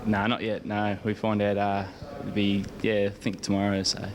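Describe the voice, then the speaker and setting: A young Australian man answers sports interview questions in a lowish voice. He rambles casually with hesitant, laid-back phrasing, and crowd chatter is clearly audible behind him. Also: completely flat delivery, normal speed. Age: young adult. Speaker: Australian rules footballer being interviewed.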